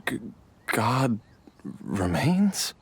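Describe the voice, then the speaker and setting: Very slow, dazed, stammering father. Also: medium pitch, sing-song, very noisy, full character voice. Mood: bewildered. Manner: halting, stammering, bewildered. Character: dazed, confused amnesiac father. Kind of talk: video game voice acting. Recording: music behind the voice.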